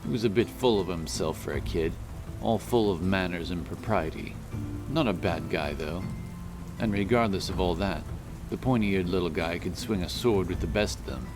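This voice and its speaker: Very deep-voiced man narrating fantasy. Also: normal speed. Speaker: audiobook narrator. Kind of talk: scripted fantasy story narration. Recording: loud music under the voice.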